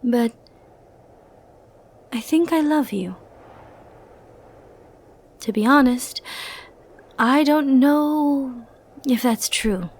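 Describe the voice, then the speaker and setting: A powerful immortal sorceress, acted by a woman for an ASMR roleplay, with a fairly high feminine voice. She speaks softly and intimately, often slipping into breathy murmurs. Her delivery is theatrical and teasing, flirty yet dangerous and possessive. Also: extremely slow, pauses often, exaggerated voice. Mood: tender, intense.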